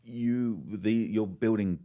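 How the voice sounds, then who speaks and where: A man with a very low voice hosts a casual interview. He talks informally, often repeating and reworking his phrases as he goes. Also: slow, mellow. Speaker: podcast host, company CEO.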